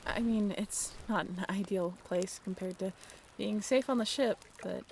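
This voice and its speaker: A young woman playing a friendly half-mermaid in an intimate audio roleplay, with a creaky voice and a casual, halting, wry and bashful way of talking. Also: medium pitch, a little hiss or hum, close to an everyday voice. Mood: tender, grateful. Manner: casual, halting, softly self-deprecating. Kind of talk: intimate audio roleplay, one-sided conversation.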